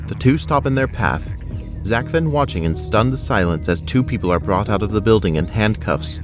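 A man with a fairly low voice narrates an audio drama in scripted, descriptive prose. Music plays clearly beneath him. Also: expressive, normal speed.

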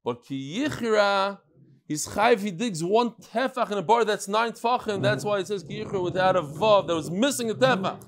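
A middle-aged man teaching a daily Talmud class, reading emails aloud with lively asides to the room and Hebrew and Yiddish terms. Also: high voice, moderate pace. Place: large study hall with wood paneling.

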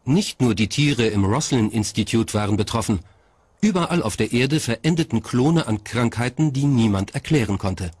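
A man's voice: medium pitch, expressive, moderate pace, darkish tone, breathy edge, no room sound. A man reading scripted documentary narration as the narrator.

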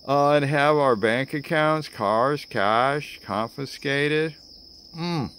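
An American man in his sixties giving a theatrical spoken-word political rant to camera, with dramatic pauses and wry asides. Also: medium pitch, fairly bright tone, slight background noise. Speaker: vlogger. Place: outdoors among greenery. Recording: outdoor ambience with chirping insects.

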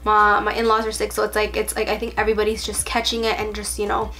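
A young woman with a stuffy cold talks casually through a makeup tutorial in her bedroom, chatty and full of fillers, softer when focused on applying makeup. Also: mid-range voice, monotone, fast. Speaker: beauty vlogger. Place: bedroom at home.

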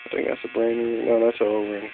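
A man's voice: medium pitch, completely flat delivery, fast talker, creaky. A man talking casually and plainly as he shows engine parts, like an amateur mechanic filming on a phone in his garage. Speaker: amateur car mechanic vlogger. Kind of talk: casual show-and-tell narration of engine parts. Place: cluttered garage workshop. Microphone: handheld phone behind the camera. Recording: tinny phone-like sound with electrical hum.

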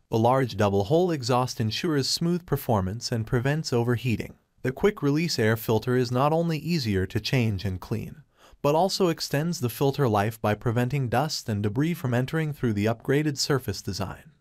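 Male VO, scripted product review read, even delivery, dead-dry booth sound. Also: medium pitch, no echo.

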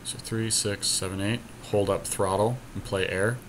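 A man's voice: lowish voice, steady tone, normal speed, poor audio. A man giving casual, slangy commentary on a game, thinking aloud as he goes. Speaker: podcast host playing a card game. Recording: clear background noise.

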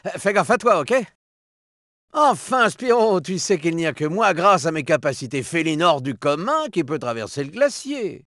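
High, lively cocky cheetah sidekick. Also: male voice, normal speed, dry recording, clean studio sound. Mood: chummy, boastful. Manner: breezy, boastful, chummy. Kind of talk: video game character voice acting in French.

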